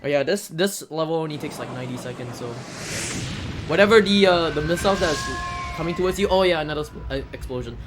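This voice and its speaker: A man giving casual live commentary on a video game he is playing, breaking into excitable exclamations. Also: fairly high pitch, fast talker, noisy background. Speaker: speedrunner commentating on own game. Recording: game music behind the voice. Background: video game music.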